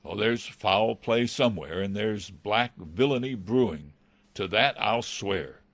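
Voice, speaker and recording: A man's voice: on the low side, lively intonation, a little slow, fairly bright tone, no echo, heavily acted. A man playing an anxious, deferential butler in a radio mystery drama, earnest and hesitant. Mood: agitated. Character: anxious male butler, deferential, dreading villainy.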